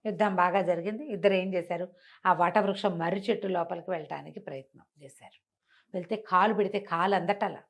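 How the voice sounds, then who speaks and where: A woman's voice: on the low side, brisk. Woman telling a story conversationally. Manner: animated retelling with rhetorical questions and repetition. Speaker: storyteller on a web show. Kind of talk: conversational oral storytelling of a fantasy folk tale.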